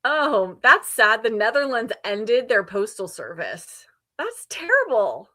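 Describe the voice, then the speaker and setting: A woman's voice: a little high, very animated, takes it slowly, pushed and bright. A woman in her fifties hosting a casual, conversational livestream chat. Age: 50s. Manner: conversational, reads out and responds to viewer comments. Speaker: livestream host.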